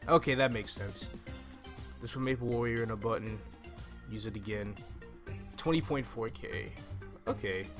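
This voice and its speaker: Young male gaming host, mid-pitched, easygoing walkthrough commentary, close desk mic, music underneath. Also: fairly bright tone. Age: young adult. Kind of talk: casual video game walkthrough commentary. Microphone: desk microphone close to the mouth. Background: game music.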